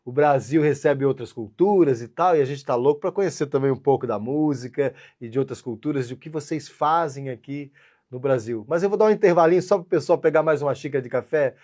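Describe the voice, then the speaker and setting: A man's voice: medium pitch, a little fast, a little mellow. A man hosting a casual talk show in a folksy, chatty way. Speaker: host.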